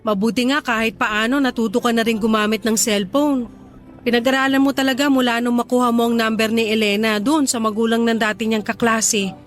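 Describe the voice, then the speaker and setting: An actress with a Filipino accent playing a cautious, doubtful wife with a questioning, hesitant manner in radio drama dialogue. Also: female voice, fairly high pitch, little pitch movement, brisk, natural voice. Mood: doubtful, uneasy. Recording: faint music under dialogue. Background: faint music.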